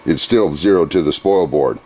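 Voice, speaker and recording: A middle-aged man in a workshop, walking through a machine's controls in an unhurried, methodical, plain-spoken way. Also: very deep voice, a little mellow, breathy edge. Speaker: CNC machine demonstrator. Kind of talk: hands-on equipment demonstration. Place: workshop beside a CNC router.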